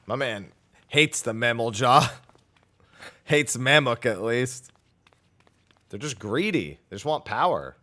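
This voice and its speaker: A man's voice: mid-range voice, expressive, takes it slowly, pauses often, bright, forceful tone. A man giving casual, offhand live commentary as he streams a game playthrough.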